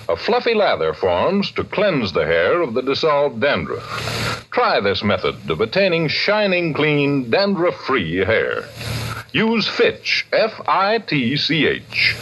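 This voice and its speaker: A man's voice: a little high, a bit bright, slightly rough. A man with an American accent reading a 1940s radio advertisement as announcer, with an emphatic sales delivery. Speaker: commercial announcer. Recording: muffled 1940s radio recording.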